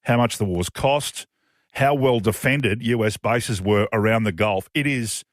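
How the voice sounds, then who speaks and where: An Australian man co-hosting live talk radio, speaking emphatically and repeating himself in a halting flow. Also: fairly low pitch, dry recording, clean studio sound. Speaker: radio talk show co-host. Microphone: close studio radio microphone.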